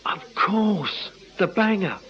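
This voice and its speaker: An actor playing an earnest, deferential detective constable who is easily puzzled, in a radio crime drama. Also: male voice, sits high, lively intonation, slow. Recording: muffled radio drama recording.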